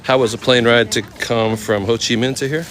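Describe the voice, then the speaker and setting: A man from Oakland in his thirties, casually chatting with viewers while walking through a temple courtyard outdoors, easygoing and quick to laugh. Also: medium pitch, steady tone, fairly bright tone, slight background noise. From Oakland, California. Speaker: vlogger answering live chat. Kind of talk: casual live-stream walk and chat.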